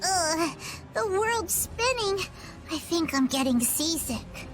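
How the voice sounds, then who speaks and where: A woman's voice: extremely high pitch, lively intonation, slow, over music, exaggerated voice. A woman voicing a plucky, carefree young girl treasure hunter in a video game dub. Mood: queasy.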